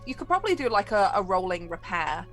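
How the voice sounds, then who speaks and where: A woman's voice: mid-range voice, sing-song, quick pace, a bit bright, soft background music. A woman running a tabletop game, narrating scenes off the cuff in a conversational, descriptive way. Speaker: game master.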